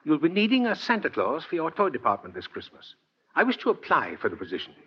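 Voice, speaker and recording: Jolly old Santa, wildly animated. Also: male voice, fairly high pitch, fast, breathy edge, heavily acted. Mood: genial, assured. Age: older. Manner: courtly, chatty and earnest. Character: jolly, kindly old man claiming to be Santa Claus. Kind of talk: 1940s radio acting. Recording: muffled vintage 1940s radio recording.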